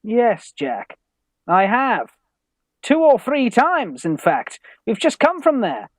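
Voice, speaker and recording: An actor playing a timid young Victorian gentleman in a radio farce, with a British accent and a hesitant, plaintive manner. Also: extremely high pitch, huge pitch swings, slow, no echo, studio quality, full character voice. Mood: anxious, sheepish. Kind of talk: stage farce acting for radio play.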